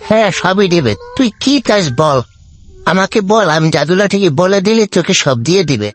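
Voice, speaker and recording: A performer voicing a brusque, commanding magical being in a cartoon, repeating orders loudly. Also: medium pitch, lively intonation, a little fast, faint music behind, close to an everyday voice. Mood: bargaining. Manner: loud, repetitive, commanding. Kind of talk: cartoon story dialogue.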